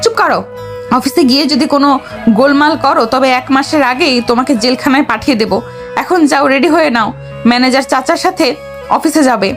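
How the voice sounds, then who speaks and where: A woman's voice: high voice, moderate pace, soft background music, close to an everyday voice. A woman playing an assertive, haughty young newlywed heiress in an audio story. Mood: scolding, haughty. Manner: assertive, coy with elders, sharp with husband. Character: haughty, bossy young heiress, newly married, sweet-talking her grandfather.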